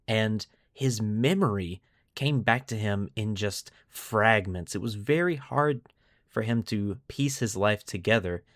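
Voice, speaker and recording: A man hosting a lore podcast, telling the story in a deliberate, semi-scripted narrative style with dramatic pauses. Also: fairly low pitch, no echo, studio quality. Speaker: podcast host.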